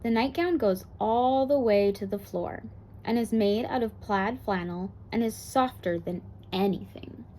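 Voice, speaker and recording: A woman's voice: medium pitch, a little slow, dark tone. A woman narrating a children's picture book aloud as a bedtime story. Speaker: storybook reader.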